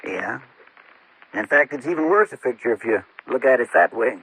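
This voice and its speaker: An actor playing a steady, plain-spoken small-town constable with a rural American accent in a vintage radio drama. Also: male voice, medium pitch. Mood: reluctant, thoughtful. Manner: folksy, deliberate, plain-spoken. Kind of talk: golden-age radio acting. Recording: noisy, clicking old radio recording.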